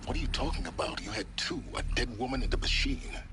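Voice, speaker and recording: An actor playing a cool, commanding mentor in a game, with a dry, articulate and biting delivery. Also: male voice, medium pitch, expressive, fast, lightly acted. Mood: dry, sardonic. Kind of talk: scripted game dialogue. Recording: loud music behind voice.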